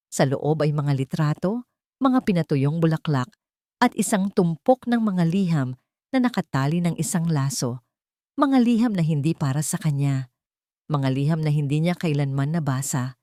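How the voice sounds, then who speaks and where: A woman's voice: sits low, a little mellow, no room sound, studio quality. A woman reading a scripted dramatic story as its storyteller.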